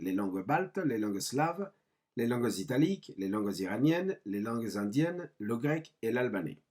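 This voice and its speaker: Husky male teacher narrating a lesson. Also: mid-range voice, a little mellow. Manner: didactic, mixing in French terms. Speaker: language teacher. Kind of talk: scripted explanatory lesson narration.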